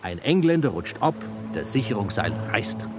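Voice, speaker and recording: Proud low-voiced mountaineer showman. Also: very animated, normal speed, noisy background. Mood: dramatic narrating. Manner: theatrical, relishing storyteller. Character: proud showman mountaineer-filmmaker, a middle-aged man, vividly telling stories. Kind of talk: German radio drama acting.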